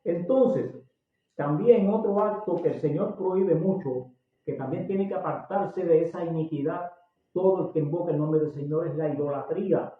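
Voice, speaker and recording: A man in his sixties from Puerto Rico with a Puerto Rican accent, preaching a sermon emphatically, getting loud and slowing down on key words. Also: a little high. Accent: Puerto Rican Spanish. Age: 60s. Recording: tinny, echoey, distant recording.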